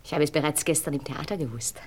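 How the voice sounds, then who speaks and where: An actress playing a seductive, mocking stage star in a radio play, with a teasing, imperious and dramatic way of talking. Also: female voice, low-pitched, fast, husky. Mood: seductive, commanding.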